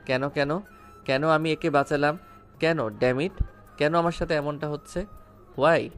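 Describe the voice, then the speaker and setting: Guy acting a hot-tempered young gangster in an audio story, curt n bossy, bit high pitched, faint music under. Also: fairly even intonation, unhurried, natural voice. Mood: agitated. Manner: curt and commanding, mixes in English words.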